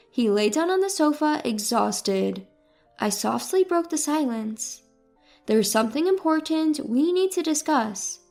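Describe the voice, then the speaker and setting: A woman's voice: high-pitched, a little mellow. A woman reading a scripted first-person dramatic story as its narrator. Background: music.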